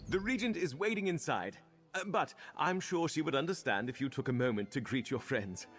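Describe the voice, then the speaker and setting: An actor playing a polite, earnest, curious companion in a fantasy game, formal and slightly hesitant. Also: male voice, on the high side, animated, a little fast, fairly bright tone, soft background music. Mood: earnest, wistful. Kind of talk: fantasy video game dialogue.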